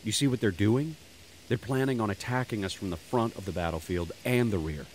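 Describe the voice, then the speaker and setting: A man voicing a shrewd army commander calmly explaining enemy plans. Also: lowish voice, brisk, a little hiss or hum, natural voice. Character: shrewd male army commander, calm strategist.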